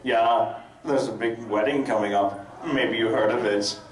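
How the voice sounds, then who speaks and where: A man's voice: mid-range voice, fairly even intonation, measured pace, a little hiss or hum, lightly acted. A man reading the part of an indignant, sardonic young female unicorn in a comedic live dramatic reading. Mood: indignant, sarcastic. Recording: echoey convention room, distant mic, crowd. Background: convention audience.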